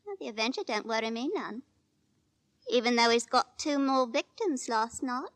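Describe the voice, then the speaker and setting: Bright, high-voiced bold London girl. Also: expressive, normal speed. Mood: breezy. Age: young adult. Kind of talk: 1940s radio acting. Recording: vintage 1940s radio recording.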